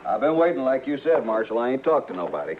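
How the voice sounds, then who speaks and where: A man's voice: mid-range voice, sing-song, fast talker, lightly acted. An actor playing a cowardly, boastful small-time gunman in a radio western, with a rural American West accent and a petulant, defensive manner. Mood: nervous, meek. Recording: narrow-band old radio recording, faint noise.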